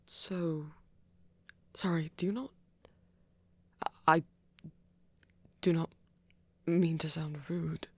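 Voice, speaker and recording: A woman playing a refined, flirty male vampire with a breathy voice and formal, careful phrasing in an intimate audio roleplay. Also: sits low, fairly even intonation, slow, long pauses, no room sound, full character voice. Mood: careful, concerned. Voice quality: breathy, whispery. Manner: formal, careful diction without contractions, gently teasing.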